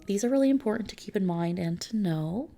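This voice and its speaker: A soft-spoken woman in an ASMR roleplay, gently and reassuringly explaining things like a service consultant, with breathy edges that drift toward a whisper. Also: mid-range voice, lively intonation, fairly quick, faint music behind. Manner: gentle, reassuring, checking in with the listener.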